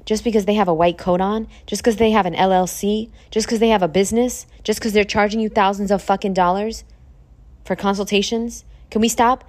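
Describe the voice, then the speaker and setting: A woman in her thirties hosting a podcast in a casual monologue, blunt and profane, preaching at her listeners and jumping into high emphatic peaks. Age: 30s. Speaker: podcast host.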